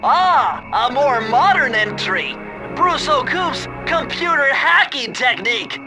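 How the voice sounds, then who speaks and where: Casting: anxious brainy young turtle sidekick. Extremely high, nasal, fussy and precise, video game cartoon over a music bed. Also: male voice, big pitch swings, takes it slowly, full character voice, poor audio. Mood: instructive. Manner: fussy, precise, techy explaining.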